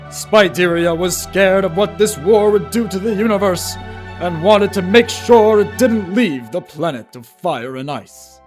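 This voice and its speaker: A man reading scripted storybook narration as the narrator of a fantasy tale. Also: sits high. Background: music.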